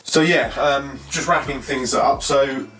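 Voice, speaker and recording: A British man presents an informal tech review in a mid-pitched voice. He thinks aloud in short, halting phrases. The sound is echoey and slightly distant, with music in the background. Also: pushed and bright. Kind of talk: casual unscripted review talk. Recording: roomy echo, distant mic, faint music.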